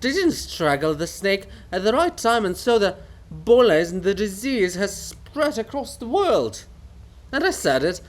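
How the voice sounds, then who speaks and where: A man's voice: sits high, exaggerated voice. A man voicing a living monument of a haughty, paranoid genius in a theatrical farce reading, declaiming and ranting.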